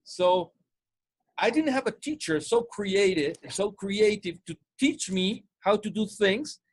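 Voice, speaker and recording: A man's voice: sits high, lively intonation, takes it slowly, slightly rough, dry recording. Mexican-accented man coaching, dry close sound. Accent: Mexican Spanish. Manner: repetitive restarts, stumbling over words. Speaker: sales coach. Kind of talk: casual coaching chat.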